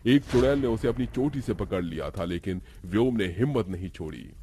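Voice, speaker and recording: Low-voiced male horror narrator. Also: expressive, a little fast, mellow, slight background noise. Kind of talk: dramatic scripted storytelling.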